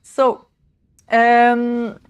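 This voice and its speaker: A woman in her thirties hosting a casual makeover livestream, rambling and excitable, with lots of "like" and "um". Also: on the high side, extremely slow, smooth, no room sound, highs cut off. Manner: rambling, excitable, many fillers like "like" and "um". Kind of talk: casual chatty livestream talk. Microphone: small desk mic.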